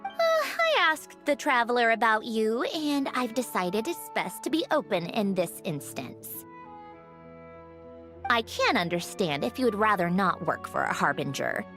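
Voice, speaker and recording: An actress playing a proud, coolly courteous mechanical woman of high rank in a video game, speaking in formal, precise phrases. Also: sing-song, over music. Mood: courteous, composed. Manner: formal, measured, precise wording.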